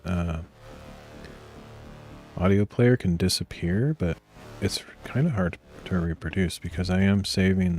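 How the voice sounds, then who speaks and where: A soft-spoken man with a grainy voice, thinking aloud in a meandering way as he works through code on a live stream and often clears his throat. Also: deep voice. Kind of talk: casual thinking aloud while coding.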